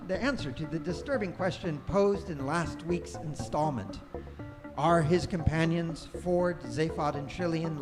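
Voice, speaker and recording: A man playing the narrator of a live radio play, deliberate, dramatic and dryly wry. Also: on the high side. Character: wry, measured male storybook narrator. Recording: reverberant hall live stream. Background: music.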